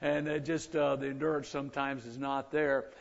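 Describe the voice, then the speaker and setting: An older man with a gravelly, rough voice, a visiting gospel singer at a church service, chatting folksily between songs. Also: mid-range voice, little pitch movement, moderate pace. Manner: folksy, self-deprecating patter between songs. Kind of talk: church singing and chat. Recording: muffled, lo-fi with instrumental backing.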